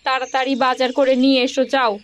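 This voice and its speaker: A woman's voice: high voice, monotone, fast talker, a little hiss or hum, natural voice. A woman voicing an indignant young wife in a cartoon, scolding and complaining in a plaintive way. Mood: nagging, indignant. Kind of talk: Bengali cartoon voice acting.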